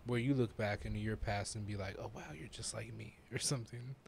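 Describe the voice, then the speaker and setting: A man hosting a podcast, asking gentle, supportive interview questions. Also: lowish voice, fairly quick, gravelly, a little hiss or hum. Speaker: podcast host.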